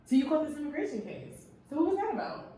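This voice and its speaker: A woman's voice: high voice, big pitch swings, fast, warm tone. A woman hosting an interview, retelling her guest's story back to him and asking probing follow-up questions. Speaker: interview host. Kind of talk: conversational interview. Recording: echoey room, mic at a distance, faint noise.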